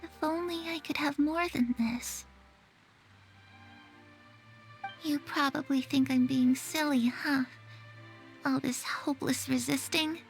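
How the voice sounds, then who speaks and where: A woman's voice: high-pitched, fairly even intonation, a little slow, creaky, faint music behind. A woman playing a disillusioned, secretive young girl in a video game, speaking with wistful, bitter resignation.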